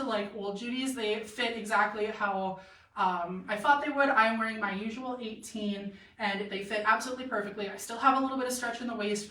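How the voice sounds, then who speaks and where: A woman's voice: medium pitch, a bit bright. A woman hosting a casual live try-on stream as a boutique model, chatty and enthusiastic with lots of fillers, her voice tiring. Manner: chatty, enthusiastic, many fillers, answers viewer comments. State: voice getting tired. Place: clothing boutique. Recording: echoey room, slightly distant mic.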